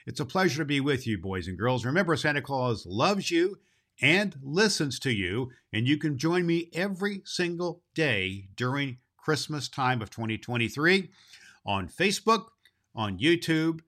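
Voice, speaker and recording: A man playing animated, jolly old Santa Claus. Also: mid-range voice, moderate pace. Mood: cheerful, promotional. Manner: folksy, rambling, emphatic, repeats himself. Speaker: show host. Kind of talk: children's show talk.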